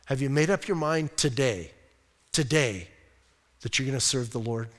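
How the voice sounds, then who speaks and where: A male pastor preaching a conversational, emphatic sermon. He asks rhetorical questions and reads scripture slowly and deliberately. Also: medium pitch, lively intonation. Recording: reverberant hall sound.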